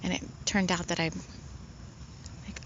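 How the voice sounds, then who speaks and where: Slow, soft-spoken woman healer. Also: on the low side, level tone, dull audio, background noise. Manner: rambling, soft-spoken, many fillers. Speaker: spiritual healer. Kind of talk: casual spiritual talk.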